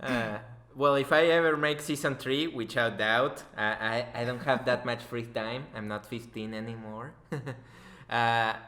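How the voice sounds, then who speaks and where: Bright-voiced young man, echoey room. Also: medium pitch, fairly even intonation, unhurried. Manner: joking, sweary, informal. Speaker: podcast host, interviewer. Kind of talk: casual chat.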